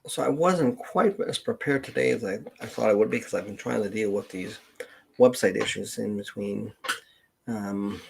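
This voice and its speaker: A man hosting a casual, unscripted live stream, speaking in a halting, hesitant way. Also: on the low side, creaky, a little mellow, husky. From Canada. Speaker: genealogist live stream host.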